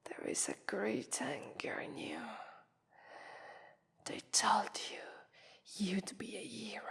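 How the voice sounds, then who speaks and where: A woman acting a slow, breathy, haughty Italian-accented vampire mistress. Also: mid-range voice, a bit bright, full character voice. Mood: scornful, knowing. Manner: slow, breathy, often whispered, condescending. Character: haughty female vampire mistress, predatory, taunting, intrigued. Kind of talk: ASMR roleplay voice acting. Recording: long reverberant echo.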